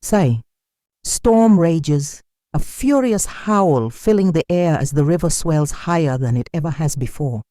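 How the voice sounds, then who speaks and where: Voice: mid-range voice, lively intonation, a little slow, mellow, no room sound, clean studio sound. A narrator telling a dramatic folktale in a solemn, scripted storytelling style.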